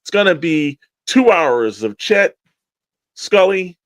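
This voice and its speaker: A man's voice: high voice, very animated, takes it slowly, dry recording. A man co-hosting a podcast, talking in a rambling, enthusiastic, informal way as he plugs shows. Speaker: podcast co-host. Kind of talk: casual promotional podcast chat.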